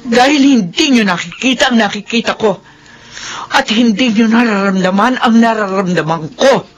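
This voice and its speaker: Stubborn widowed mother for radio drama: fairly high, husky, firm, indignant. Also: moderate pace, soft background music, low-quality recording. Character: stubborn, lovestruck widowed mother defending her choices. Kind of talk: melodramatic radio drama acting.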